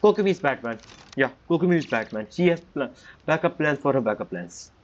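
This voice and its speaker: A man's voice: on the high side, expressive, a little fast. Man muttering casual game commentary, muffled. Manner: informal muttering reactions, loose grammar. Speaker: gaming streamer. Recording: muffled mic with game music.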